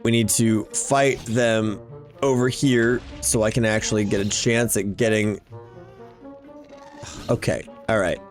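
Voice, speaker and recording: A man's voice: fairly low pitch, steady tone, measured pace, long pauses, faint music behind. A man giving casual, exclamation-filled gameplay commentary, often talking to himself as he plays. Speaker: gaming YouTuber hosting a Minecraft series.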